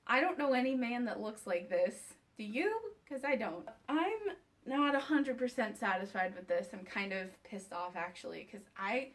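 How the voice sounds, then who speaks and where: A woman vlogger giving a casual, unscripted review to camera, candid and wry, with conversational asides. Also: on the high side.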